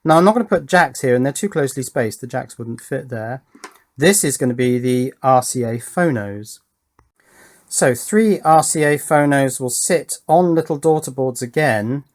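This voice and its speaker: A man's voice: medium pitch, moderate pace. A man giving a casual hands-on electronics demonstration, musing aloud with pleased enthusiasm as he works. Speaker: electronics hobbyist presenter.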